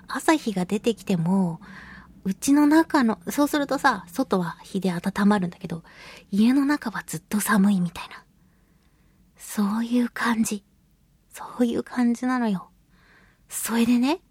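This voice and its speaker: A woman hosts a casual solo radio chat in a fairly high voice with a husky, breathy grain. She talks playfully to her listeners, stretching words and tossing in colloquial asides. The sound is dry, with no room echo. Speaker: radio show host, voice actress.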